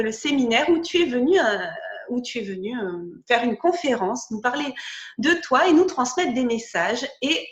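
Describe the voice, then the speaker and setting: A woman's voice: fairly high pitch, echoey room. A woman hosting a podcast video interview, chatty and hesitant, with many fillers and restarts.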